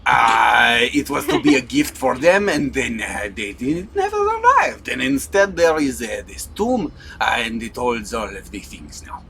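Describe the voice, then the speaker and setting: A man voicing a vague wandering male scholar, halting and full of long pauses. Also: medium pitch, sing-song, creaky, sharp tone, a little hiss or hum, exaggerated voice. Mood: distracted. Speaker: player voicing a character.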